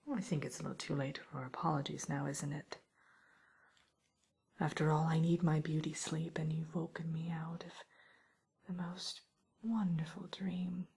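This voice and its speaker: A woman playing a wry, teasing witch who scolds an intruder in an ASMR roleplay, speaking softly and often in a whisper. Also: low voice, steady tone, moderate pace, pauses often, exaggerated voice. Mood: teasing menace. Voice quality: soft, breathy, often whispered. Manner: teasing, musing, mock-stern, theatrical.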